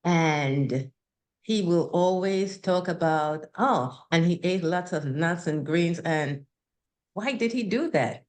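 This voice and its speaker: An older woman from Trinidad and Tobago with a Trinidadian accent, speaking as an interview guest in an unhurried, measured way with soft laughs. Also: lowish voice. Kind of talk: interview conversation.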